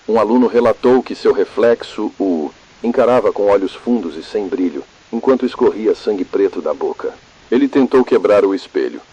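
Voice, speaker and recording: A Brazilian man playing a grave, calm, ominous emergency broadcast announcer in a scripted analog horror, husky-voiced, solemn, measured and formal. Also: low voice, rough recording. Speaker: narrator.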